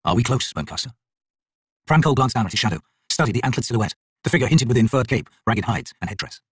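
A man reading a fantasy audiobook in a theatrical, solemn, expressive manner. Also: medium pitch, very fast, breathy edge, dry recording. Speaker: audiobook narrator, actor. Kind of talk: dramatic scripted fantasy audiobook narration.

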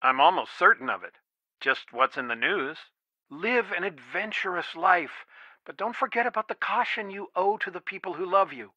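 A man's voice: medium pitch, big pitch swings, moderate pace, vocal fry, pushed and bright, no echo, exaggerated voice. An actor playing a worried, protective middle-aged father in a video game, earnest and pleading, heard through a telephone filter. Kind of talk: naturalistic video game dialogue, one side of a phone call. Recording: dry, band-limited phone-like sound.

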